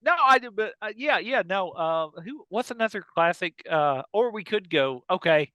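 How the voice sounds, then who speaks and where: A man's voice: sits high, animated, normal speed, sharp tone, no echo. A man co-hosting a podcast in casual comedic banter, chatty and teasing, repeating words for emphasis. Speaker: podcast co-host.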